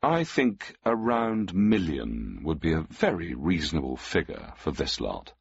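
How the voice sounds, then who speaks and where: A man's voice: very deep voice, big pitch swings, a little slow, vocal fry, slightly rough, dry recording, dull audio, heavily acted. A man doing a wry, cynical master thief. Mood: wry, guarded. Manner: dry and mildly ironic. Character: cynical, self-assured male master thief.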